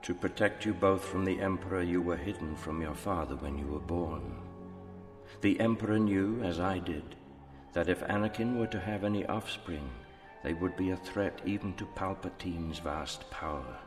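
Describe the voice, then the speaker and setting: An actor playing a grave old mentor's spirit in a radio drama, speaking in a measured, formal, admonishing way with ghostly reverb. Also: male voice, low voice. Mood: grave, reflective. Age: older. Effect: long ghostly reverb. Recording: long reverb, music behind.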